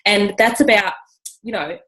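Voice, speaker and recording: A woman hosts a casual video interview, enthusiastic and chatty, peppering her talk with "you know". Her voice sits at an ordinary middle pitch. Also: moderate pace, a bit bright, poor audio. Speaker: interview host.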